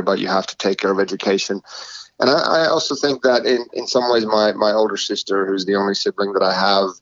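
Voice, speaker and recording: A man's voice: lowish voice, a bit bright, no echo, dull audio. A man originally from Finland, a soccer coach guesting on a podcast, answering in a friendly, polite way with frequent fillers. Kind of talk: casual interview answers.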